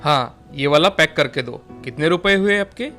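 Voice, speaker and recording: A man's voice: on the high side, animated, moderate pace, pushed and bright, soft background music. A man playing an earnest, principled young well-off suitor and son in a story, speaking firmly and sincerely. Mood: polite. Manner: earnest, firm. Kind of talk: Hindi moral story dialogue.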